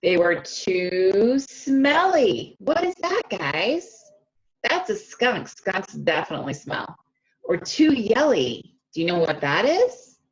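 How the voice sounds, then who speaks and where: A woman reading a picture book aloud to children, expressive and stretching key words, often stopping to ask them questions directly, on a slightly dull lo-fi recording. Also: mid-range voice, slow pace. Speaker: speech-language pathologist reading to children. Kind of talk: animated children's read-aloud with questions to listeners.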